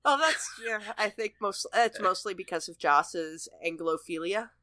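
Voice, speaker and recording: Bright-voiced woman chatting as podcast co-host. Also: lowish voice, lively intonation, a little slow, no room sound, clean studio sound. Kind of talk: casual chat about a TV show.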